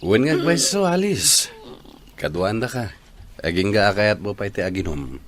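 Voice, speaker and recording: Casting: obliging young guy friend, Ilocano accent, lower register, expressive melody, radio drama. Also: moderate pace. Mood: teasing. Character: helpful young male friend at a drinking party.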